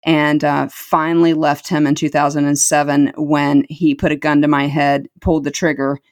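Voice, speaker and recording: A woman's voice: sits low, flat delivery, normal speed. A middle-aged woman telling her life story as an interview guest, earnest and reflective, repeating words for emphasis.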